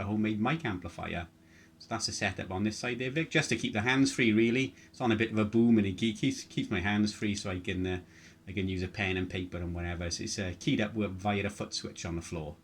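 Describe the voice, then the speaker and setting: Deepish guy doing casual radio ham tech chat, halting, matter of fact, bit of background noise. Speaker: amateur radio operator.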